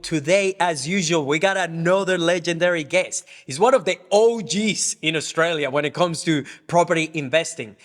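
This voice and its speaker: A man with a Venezuelan accent and Spanish as his first language, hosting an interview podcast in an enthusiastic way. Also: a little high. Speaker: podcast host. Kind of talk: interview questions and podcast introductions.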